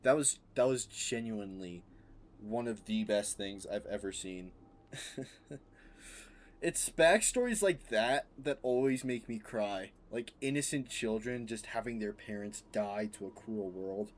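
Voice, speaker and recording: A man's voice: fairly low pitch, animated, a little slow. A man giving effusive, emotional reaction commentary as he plays a game, sometimes reading its text aloud. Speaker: game streamer. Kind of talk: let's play reaction commentary. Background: game music.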